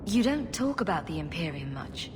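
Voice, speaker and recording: An actress playing a steady female warrior hero, calm and gently teasing. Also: fairly low pitch, normal speed, background noise. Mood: casual. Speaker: player hero. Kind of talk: video game voice acting. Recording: long reverb under game music.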